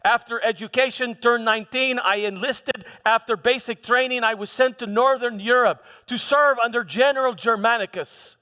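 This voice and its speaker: A man playing a proud, defensive Roman governor in a live church monologue, declaiming emphatically with halting repeated words. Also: extremely high pitch, pushed and bright, clean tone. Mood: proud, reminiscing. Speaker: preacher performing a dramatic monologue. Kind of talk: first-person theatrical church monologue. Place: large hall, live church performance. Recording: long hall reverb.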